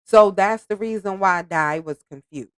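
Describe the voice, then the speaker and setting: A woman's voice: mid-range voice, moderate pace, smooth, dry recording. A woman hosting a podcast, chatting casually in slang with a slightly nasal voice from allergies. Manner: chatty, animated, informal slang. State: congested from allergies. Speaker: podcast host reacting to a reality show. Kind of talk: casual reaction commentary.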